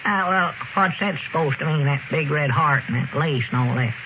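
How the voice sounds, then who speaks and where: A man's voice: fairly high pitch, fairly quick, close to an everyday voice. A man playing an elderly, skeptical backwoods storekeeper with a rural Southern accent and folksy talk, in radio comedy style. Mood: puzzled. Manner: folksy, drawling country talk. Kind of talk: 1930s-40s radio comedy acting. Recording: old radio broadcast, narrow band, noisy.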